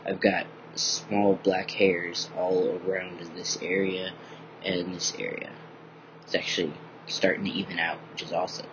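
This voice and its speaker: A young man vlogging in a bright room at home, rambling casually with lots of ums, a little groggy from just waking up. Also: sits low, unhurried, noisy background. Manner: rambling, many ums, relaxed and candid. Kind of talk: casual personal video diary. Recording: dull lo-fi webcam audio.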